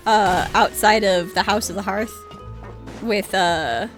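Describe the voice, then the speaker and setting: A woman's voice: mid-range voice, slow. A young woman streamer chatting casually over her game, swearing often and laughing at her own slip-ups, on a desk mic. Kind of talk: casual gameplay commentary. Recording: game music playing behind.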